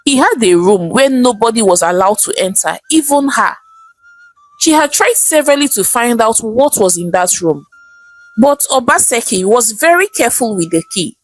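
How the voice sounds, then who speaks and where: A woman with a Nigerian accent narrating a scripted folktale in a dramatic, expressive storytelling manner. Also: fairly high pitch, normal speed, no echo, soft background music, poor audio.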